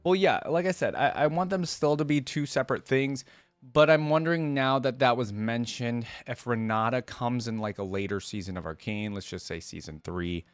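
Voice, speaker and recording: A man hosting a reaction video, reading aloud and thinking out loud in a casual, conversational way. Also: mid-range voice, moderate pace, dry recording.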